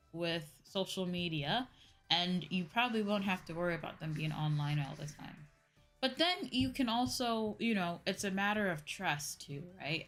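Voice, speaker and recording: A woman's voice: fairly low pitch, fairly bright tone. A young adult woman streamer chatting casually in a conversational way, often checking in with the listener. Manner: conversational, often checks with "right?" and "you know". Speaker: VTuber streamer. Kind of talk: casual livestream chat. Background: background music.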